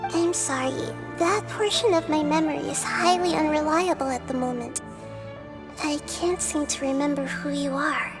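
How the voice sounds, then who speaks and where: Very high-pitched childlike goddess. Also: female voice, moderate pace, music in the background. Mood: apologetic, gentle. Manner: gentle, thoughtful, polite. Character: small childlike female deity, gentle, wise, considerate. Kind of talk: video game voice acting.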